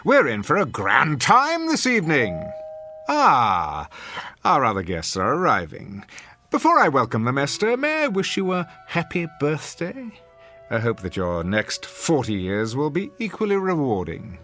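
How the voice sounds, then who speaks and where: An actor playing a cruel, sardonic party host in a radio drama, speaking with pompous, arch, biting wit. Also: male voice, on the high side, huge pitch swings, measured pace, pushed and bright, soft background music, exaggerated voice.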